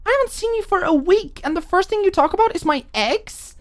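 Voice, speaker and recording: A woman playing a frazzled, anxious young woman who confronts her roommate in a shrill, indignant, pleading way in an audiobook drama. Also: lively intonation, fairly quick. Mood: indignant, worried.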